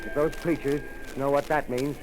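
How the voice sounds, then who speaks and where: A man's voice: a little high, flat delivery, lightly acted. A man playing a stammering, panicked radio reporter. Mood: tense. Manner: halting, stammering, increasingly panicked. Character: adult male radio reporter describing an alien landing. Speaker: field reporter. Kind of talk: 1930s radio drama acting. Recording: noisy 1930s radio broadcast.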